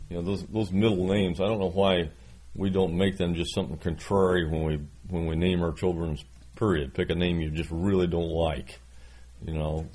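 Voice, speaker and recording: A man's voice: low voice, little pitch movement, moderate pace, mellow. A man in his sixties preaching a sermon, reading scripture and adding folksy, self-deprecating asides. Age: 60s.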